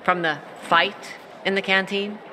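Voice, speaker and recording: A woman's voice: mid-range voice, fairly even intonation, over music, close to an everyday voice. An actress playing a blunt female military officer who briefs a newcomer in a game cutscene. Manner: blunt, brisk. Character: tough female soldier, blunt and proud. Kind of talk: video game cutscene acting.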